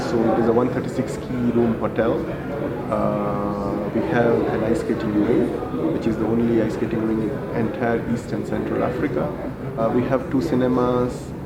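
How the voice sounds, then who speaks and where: A middle-aged man from India, a hotel group general manager, answering interview questions in an unhurried way with many fillers. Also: lowish voice, creaky. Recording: echoey room with loud ambience.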